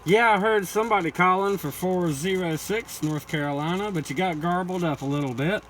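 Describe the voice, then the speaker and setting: Loud static surrounds an American man at a CB radio. His voice sits a little high. He gives repetitive, formulaic call-outs in operator jargon. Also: fairly quick. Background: loud radio static and noise.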